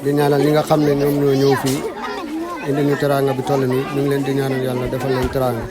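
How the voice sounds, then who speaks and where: A man representing a Quranic school, giving formal prayers and thanks in a steady, rapid recitation. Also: mid-range voice. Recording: loud crowd behind.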